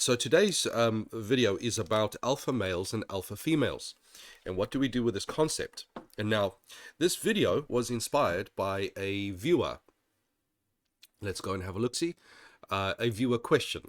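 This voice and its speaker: A man's voice: lowish voice, no echo. A man hosting his channel, talking in a rambling, digressive way with many restarts, sounding slightly nasal while getting over an illness. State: occasional coughing. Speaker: channel host. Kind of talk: casual commentary monologue.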